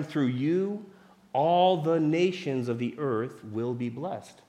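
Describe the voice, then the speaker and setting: A middle-aged man preaching a sermon in a conversational way, asking the listeners rhetorical questions. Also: mid-range voice. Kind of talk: sermon teaching.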